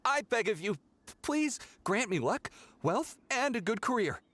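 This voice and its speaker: A man's voice: high voice, very animated, a little slow, fairly bright tone, full character voice. A man voicing a rude, impatient young man in a game who demands blessings of wealth, speaking in a brash, demanding way.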